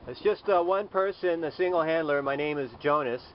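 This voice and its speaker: A man's voice: a little high, brisk. A man, a solo sailor, talking casually and politely on the radio from a small boat cabin in an easygoing way. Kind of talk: casual marine radio exchange. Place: small sailboat cabin at sea. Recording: dull lo-fi camera audio, faint noise.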